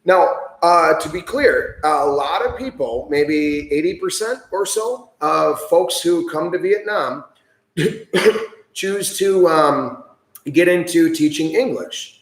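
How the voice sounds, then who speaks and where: A man's voice: on the high side, animated, takes it slowly, reverberant, rough recording. An enthusiastic, chatty man from the United States hosting a livestream, with lots of fillers. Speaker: livestream host. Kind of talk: casual livestream chat.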